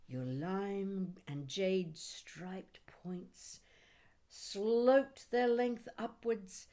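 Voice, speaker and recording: Slow-paced woman reading poetry expressively. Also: lowish voice, darkish tone. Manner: deliberate, measured phrasing with dramatic pauses. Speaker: poet reading own work. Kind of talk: expressive poetry reading with brief chatty asides.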